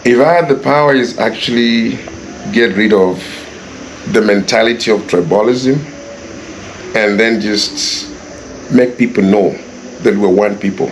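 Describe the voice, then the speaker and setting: A man's voice: fairly low pitch, measured pace, long pauses. Echoey Kenyan man giving earnest interview answers. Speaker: interview guest, property developer. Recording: echoey, distant mic, faint background noise.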